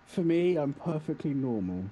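A man's voice: a little high, big pitch swings, moderate pace, background noise. A man in a casual debate as a podcast co-host, teasing and blunt.

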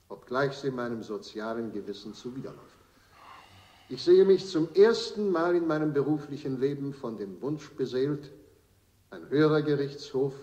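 An actor playing a solemn, troubled judge in a 1970 German radio play, grave, formal and declamatory, in an echoey room. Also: male voice, mid-range voice, a little mellow, lightly acted. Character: solemn, troubled male judge giving a verdict.

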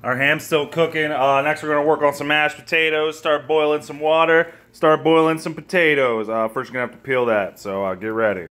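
Young guy vlogging in his kitchen, phone at arm's length, crude deadpan jokes, swears a lot. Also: a little high, brisk, hardly any pauses. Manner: crude jokey deadpan asides, swears freely. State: hungover. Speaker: home cooking vlogger. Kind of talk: comedic cooking video. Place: home kitchen, indoors.

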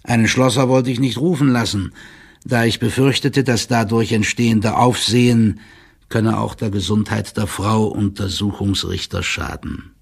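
Stout official, husky and gravelly, measured, wry, formal audio drama report. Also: male voice, fairly low pitch, steady tone. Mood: stiff, wry. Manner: formal, measured, drily ironic. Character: stout male official giving a stiff, wry written report.

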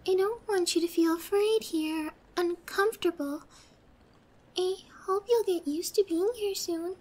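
Very high-pitched, girlish yandere girlfriend. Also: darkish tone. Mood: coy, gentle. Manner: slow, coy, drawn-out, slipping into whispers. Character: obsessed, possessive yandere girlfriend, sweet and menacing, young. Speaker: ASMR roleplay performer. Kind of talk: ASMR roleplay acting.

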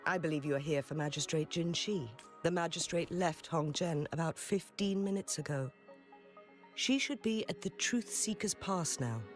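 Actress as retired noblewoman, video game, dignified, measured, courteous, calmly authoritative, music behind. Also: sits low, close to an everyday voice. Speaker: game character. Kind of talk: scripted game dialogue.

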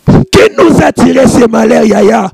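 A preaching man with a strikingly high, gravelly and husky voice holds a handheld mic in a dry studio. He chants exclamations and mixes French with Lingala as he delivers messages. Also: very animated, measured pace, darkish tone, no echo. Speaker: prophet and show host. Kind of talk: preaching and reading out prayer messages. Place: studio with warm lamp backdrop. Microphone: handheld microphone.

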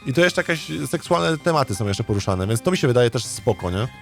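A man's voice: medium pitch, very animated, a little fast. Rambling man streaming over background music. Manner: rambling, hesitant, repeats his words. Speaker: streamer, let's play host. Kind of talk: casual livestream chat, thinking aloud.

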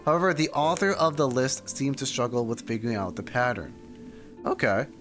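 Offhand male game streamer, mid-pitched. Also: lively intonation, brisk, fairly bright tone. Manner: offhand, informal, muttering asides. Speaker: streamer reacting to a game story. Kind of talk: casual live commentary with skimmed read-aloud. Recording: faint game music behind. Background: video game music.

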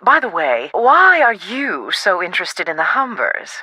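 A woman playing a self-assured, scholarly researcher in a video game, precise and theatrical with deliberate emphasis. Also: on the low side, sing-song, bright, forceful tone, slightly rough, no echo, full character voice, poor audio. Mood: intrigued, knowing.

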